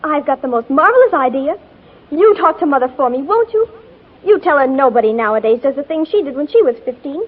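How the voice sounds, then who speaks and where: A headstrong tomboy of fifteen in an old radio drama. The voice is high and girlish, earnest, slangy and gushing. The recording is muffled and old. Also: lively intonation, fairly quick. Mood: pleading, indignant. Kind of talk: 1940s radio drama acting.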